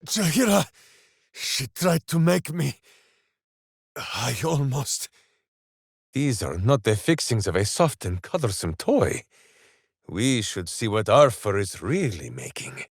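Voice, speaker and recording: Casting: boisterous, earnest berserker hero, fantasy game; unhurried delivery, wildly swinging pitch. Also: male voice, sing-song, vocal fry, slightly rough, dry recording, clean studio sound, full character voice. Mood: gruff, earnest. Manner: bombastic, earnest, talks of himself in third person. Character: big, simple, good-hearted berserker hero, boisterous and heroic. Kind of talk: fantasy video game voice acting.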